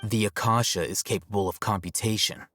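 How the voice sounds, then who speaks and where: An actor playing an aloof, coolly logical young male scholar in a video game, measured, detached and matter-of-fact. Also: lowish voice, fairly even intonation, noisy background, lightly acted. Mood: explanatory, grave. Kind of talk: video game character dialogue.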